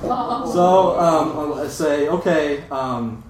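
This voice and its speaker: A man's voice: a little high, lively intonation, very slow. A man with a Midwestern American accent telling a casual, self-deprecating comic story live. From Europe, Britain, moved to the States in seventh grade. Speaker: guest storyteller. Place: live event room with audience. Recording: echoey live room, distant mic, noisy.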